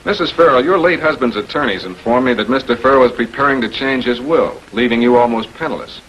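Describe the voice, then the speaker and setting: A shrewd American public prosecutor, played by a male actor in a 1940s television crime drama. He has a medium-pitched voice and questions suspects methodically and with authority. The old film soundtrack carries faint noise. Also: quick pace, fairly bright tone, natural voice, rough recording. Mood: pressing, accusing.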